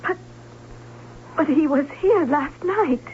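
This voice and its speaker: An actress playing a docile, sorrowful young noblewoman in an old radio drama, soft, plaintive and formal. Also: high voice, lively intonation, slow pace. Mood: bewildered. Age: young adult. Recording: dull vintage radio recording, faint hum.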